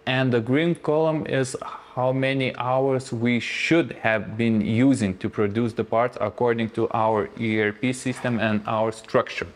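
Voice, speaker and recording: A man's voice: medium pitch, steady tone, a little slow, hardly any pauses. A man in his thirties with a Lithuanian accent, a production manager explaining data with many hesitant fillers. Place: factory office, indoors.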